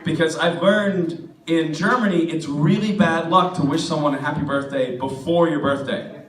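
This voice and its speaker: A man in his twenties with an American accent, hoarse from a cold, doing a rambling, self-mocking stand-up set in a bar. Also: on the high side, fairly even intonation, moderate pace. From United States. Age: 20s. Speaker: stand-up comedian. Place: comedy club stage in a bar. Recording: echoey room recorded from a distance.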